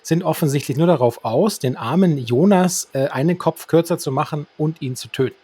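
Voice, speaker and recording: A man's voice: on the high side, brisk, mellow, husky, no echo. A man co-hosting a podcast, talking in a playfully formal, wordy way as he discusses a radio drama. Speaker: podcast co-host. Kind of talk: semi-scripted radio drama discussion with free commentary.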